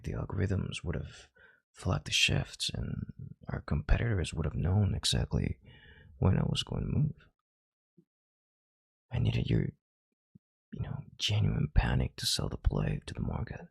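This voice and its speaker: Commanding, calculating male CEO played by a man for intimate audio roleplay: rock-bottom pitch, very husky, measured and authoritative. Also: no echo, studio quality, exaggerated voice. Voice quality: very deep, gravelly, husky voice. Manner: authoritative, measured, halting, sighing and hushing.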